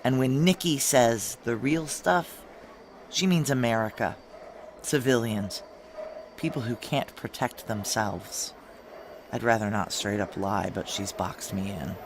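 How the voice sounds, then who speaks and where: A woman's voice: very deep voice, unhurried, slight background noise. A woman playing a tough, guarded ex-Army Ranger in a noir audio drama, with a blunt, terse, hard-boiled delivery. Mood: wry, tense. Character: tough, guarded female ex-Army Ranger leader plotting a heist. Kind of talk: noir audio drama, first-person narration and dialogue.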